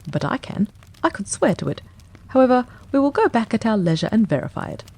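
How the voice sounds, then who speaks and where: A woman reader plays a sharp-witted gentleman detective, cool and sure of himself. He speaks quickly and emphatically, with a lively, swooping pitch and a husky, gravelly edge. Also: full character voice. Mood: eager. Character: brisk, keen-minded male detective, cool and confident. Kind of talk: audiobook character reading.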